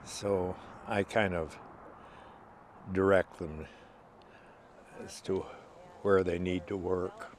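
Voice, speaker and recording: An older man, the owner of a garden, speaking in an interview in a halting, thoughtful way. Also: low voice, fairly even intonation, a little hiss or hum.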